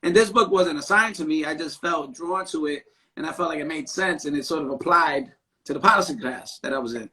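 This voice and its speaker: A man hosting a casual live-stream talk, speaking in an animated, emphatic way and often ending thoughts with "right?". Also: a little high, a little fast, rough recording. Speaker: podcast host; therapist.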